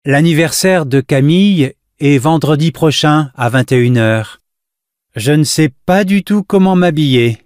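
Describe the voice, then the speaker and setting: A man's voice: on the high side, unhurried, a little mellow, dry recording, clean studio sound. A man reading scripted everyday dialogue lines for a language listening test.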